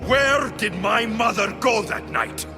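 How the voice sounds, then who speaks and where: An actor playing a vengeful young mercenary hero in a video game, with an intense, brooding manner that flares into anger. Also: male voice, high-pitched, slow. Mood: angry. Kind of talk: dramatic video game acting. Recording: loud music behind the voice.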